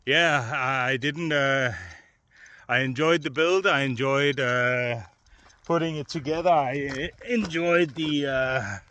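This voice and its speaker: A man's voice: medium pitch, bright, forceful tone. A man giving a casual voice-over review as a hobbyist, rambling along hesitantly and often repeating words.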